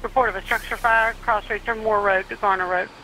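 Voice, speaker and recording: A woman's voice: mid-range voice, fairly even intonation, brisk, pushed and bright, a little hiss or hum, low-quality recording. A middle-aged woman making clipped, procedural radio dispatch calls. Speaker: fire dispatcher.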